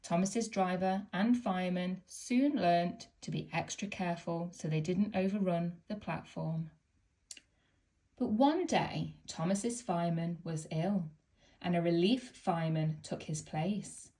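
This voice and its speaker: A woman reading a children's picture book aloud in a gentle, expressive storytelling manner. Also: lowish voice, measured pace.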